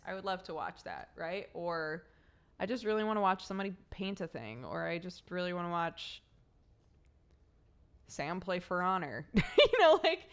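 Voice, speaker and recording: A young woman streaming, chatting casually with viewers, slightly congested from a cold, rambling and laughing often. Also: lowish voice.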